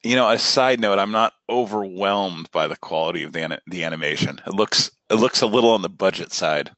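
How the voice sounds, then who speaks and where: A man's voice: low voice, fairly quick, fairly bright tone, no room sound. A man giving casual, conversational reaction commentary as he watches along.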